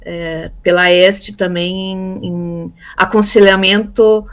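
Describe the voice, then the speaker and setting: Woman in her fifties, from Brazil, interview guest, hesitant, lots of fillers, middle pitch. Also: little pitch movement, takes it slowly. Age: 50s. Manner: hesitant, many fillers and repeated words. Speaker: interviewee, theology graduate. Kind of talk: casual conversational interview.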